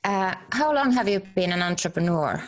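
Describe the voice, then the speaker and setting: A woman's voice: lowish voice, lively intonation, normal speed. A woman, co-hosting a podcast and asking questions of a guest. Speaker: podcast co-host. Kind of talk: podcast introduction and interviewing.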